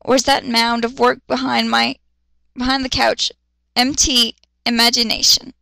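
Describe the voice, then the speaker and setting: Short-tempered young male captain played by a woman, bright forceful tone, snappish and commanding, for a dramatic story reading. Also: fairly high pitch, flat delivery, moderate pace, gravelly, no room sound. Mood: scolding. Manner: snapping, yelling when angered. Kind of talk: fan fiction dramatic reading.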